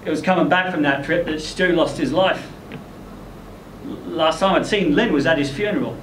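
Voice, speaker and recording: A man's voice: fairly high pitch, normal speed, fairly bright tone. A man performing a first-person short story aloud at a live event, as its narrator. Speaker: story reader performing in character as ageing rock singer. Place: indoor live literary event venue. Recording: echoey room, distant mic, faint noise.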